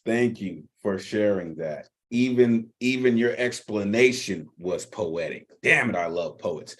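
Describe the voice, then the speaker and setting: A man in his forties hosting an online poetry open mic over a video call, giving shout-outs with a loose, filler-filled patter. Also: fairly low pitch, expressive, unhurried.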